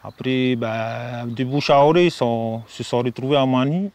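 A man's voice: mid-range voice, moderate pace, a little mellow, no echo. A man, talking easily in an interview, full of fillers. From Austral Islands, French Polynesia. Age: 30s. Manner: easygoing, filler-heavy speech. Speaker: copra farmer interviewee. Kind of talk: relaxed interview. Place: outdoors under palm trees. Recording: outdoor noise behind voice.

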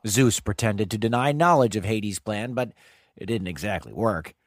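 A man's voice: lowish voice, expressive, normal speed, dry recording, clean studio sound. A man hosting and narrating scripted stories for children, with chatty asides. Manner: conversational asides. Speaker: host and storyteller. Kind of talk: animated scripted storytelling for children.

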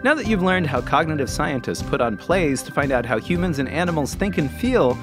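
Man, kids' show co-host: curious, joking banter, lively melodic delivery over guitar music. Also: a little high, fairly quick. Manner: jokey, inquisitive. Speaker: podcast co-host. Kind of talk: scripted kids' podcast banter.

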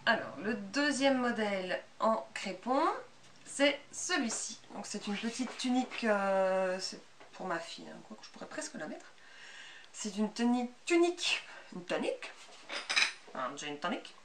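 A woman's voice: fairly high pitch, big pitch swings, a little slow, fairly bright tone. A woman in her thirties with a Belgian French accent, giving a casual, unscripted, chatty show-and-tell as a sewing vlogger. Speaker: sewing vlogger presenting handmade clothes. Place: small bright indoor sewing room. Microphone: camera a couple of metres away. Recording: distant camera mic with background noise.

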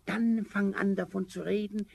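A man's voice: high voice, flat delivery, normal speed, creaky, a little mellow, husky, full character voice. A man playing a tiny, ancient forest spirit, stern and commanding, with solemn old-fashioned diction in a fairy-tale audio drama. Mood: crafty, relenting. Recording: vinyl rip with faint noise.

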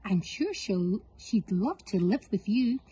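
A woman's voice: mid-range voice, big pitch swings, slow, mellow, slightly rough, exaggerated voice, low-quality recording. A woman voicing a hen, a duck and a goose from a farm storybook, tricking the thieves with sweet talk.

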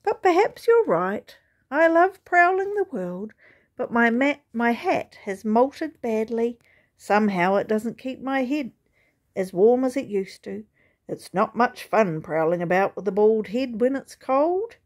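A woman's voice: fairly high pitch, lively intonation, measured pace. Cheery old roving swagman guy, laid back and chatty, woman reading him. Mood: hearty. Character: cheerful bald old male swagman wanderer. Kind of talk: storybook reading.

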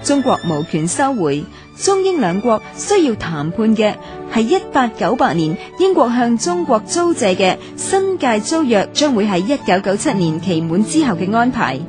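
Female radio narrator, measured scripted reading with an animated pitch line over background music. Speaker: documentary narrator. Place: radio studio.

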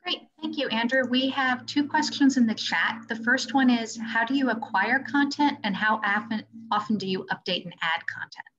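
A woman's voice: a little high, normal speed, few pauses, fairly bright tone. A woman hosting a webinar and moderating questions over a video call. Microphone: video call microphone. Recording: dull lo-fi video call audio.

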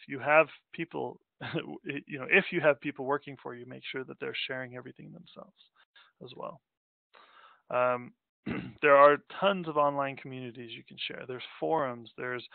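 A man's voice: mid-range voice, gravelly, dry recording. A man from Denmark presenting a business webinar in a casual, rambling way, full of fillers and restarts. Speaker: company president presenting a webinar. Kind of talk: unscripted webinar presentation over slides. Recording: tinny phone-like audio, dry.

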